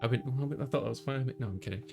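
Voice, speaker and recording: Male streamer, medium pitch, casual read-aloud narration of a game, music clearly playing behind. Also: racing. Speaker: gaming streamer narrating visual novel.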